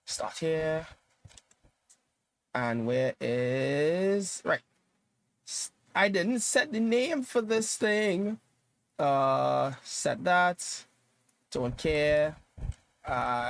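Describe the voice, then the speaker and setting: A man's voice: a little high, animated, drawn-out words, vocal fry, long pauses, a bit bright, no echo. A young man from Trinidad and Tobago hosting his gaming livestream, a bit sniffly from dust, chatting loosely and humming to himself while he sets things up. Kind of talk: casual livestream chat.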